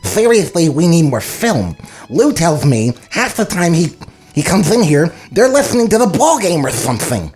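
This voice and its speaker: A woman at a script table read playing a brisk, stingy male studio boss addressing staff. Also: on the low side, big pitch swings, a little mellow, faint music behind. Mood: firm, dismissive.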